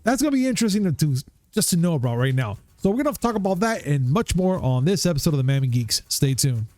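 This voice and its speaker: A man's voice: on the high side, very animated, fast, a little mellow, faint music behind. A man in his thirties hosting a casual gaming news show, chatting in a hyped, laid-back way. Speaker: podcast host.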